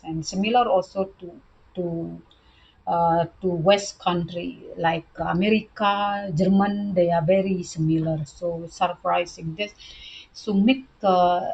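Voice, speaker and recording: A woman teaching a language lesson, speaking halting English and repeating words as she explains. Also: on the low side, slightly rough, dull audio.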